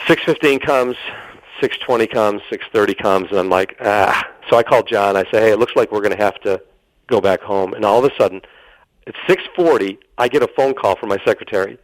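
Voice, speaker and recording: A man's voice: lowish voice, a little fast, dry recording, poor audio. A middle-aged American man telling dramatic anecdotes as an interview guest, quoting dialogue as he goes. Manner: dramatic storyteller, quotes dialogue.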